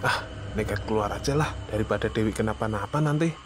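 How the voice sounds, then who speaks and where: A man's voice: fairly low pitch, fast talker, noisy background, close to an everyday voice. A man voicing an anxious, protective young hiker in an Indonesian horror cartoon, speaking colloquially and earnestly with an Indonesian accent. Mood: nervous, hushed. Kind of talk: animated horror story dialogue.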